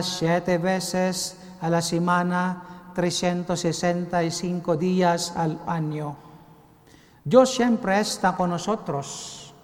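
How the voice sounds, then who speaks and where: A Filipino man, a priest, giving a homily in careful, halting Spanish that is not his first language. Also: high-pitched, in a large hall. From Philippines. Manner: careful, halting non-native phrasing. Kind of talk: homily delivered in learner's Spanish. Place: cathedral.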